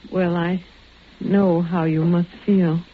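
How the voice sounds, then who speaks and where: An actress playing an anxious, hospitable middle-aged mother-in-law in a 1940s radio drama, halting and fretful. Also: lowish voice, level tone. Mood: anxious, distressed. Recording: tinny, hissy vintage radio.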